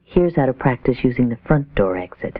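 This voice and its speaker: A man's voice: medium pitch, sing-song, brisk. A man reading scripted instructional narration for a 1970s training film. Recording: tinny, noisy 1970s training film soundtrack.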